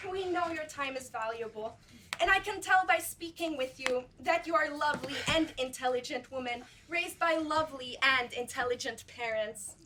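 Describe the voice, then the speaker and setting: A smooth-talking, pompous casting agent, played by a teenage girl on a school stage. Her voice sits high, with faint noise in the background. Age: teens. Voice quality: pompous, salesy. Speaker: student actor. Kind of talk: school stage play acting.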